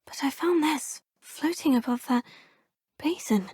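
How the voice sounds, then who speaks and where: A woman's voice: sits high, animated, a little slow, darkish tone, dry recording. A woman voicing a young, polite and curious student witch in a video game, speaking in a composed, formal manner. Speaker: player character. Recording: dry studio booth.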